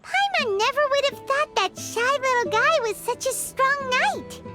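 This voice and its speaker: Little fairy girl, extremely high voice, chirpy, proud, animated, video game cutscene, loud music behind. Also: measured pace. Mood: cheerful pride. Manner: speaks of herself in third person, exclamatory. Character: small excitable childlike female fairy companion, chirpy and proud.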